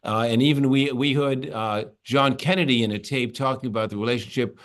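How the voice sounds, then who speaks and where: A man hosting an interview, asking long, halting questions full of restarts. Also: medium pitch. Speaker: interviewer and host. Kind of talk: conversational interview.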